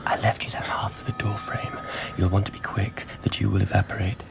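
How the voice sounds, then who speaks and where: An actor playing a frightened, zealous street preacher in a radio drama, fretful and rambling, with biblical phrasing and repeated words. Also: male voice, on the low side, level tone, fairly quick, creaky, in a whisper, music in the background. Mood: rambling, forlorn. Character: frightened, zealous street preacher clinging to faith amid apocalypse. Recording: loud ambient noise behind the voice.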